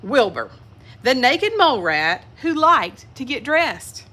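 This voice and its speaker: Animated, bright-voiced woman reading aloud. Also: high voice, slow pace. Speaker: children's story reader. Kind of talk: expressive picture-book read-aloud with asides to young listeners.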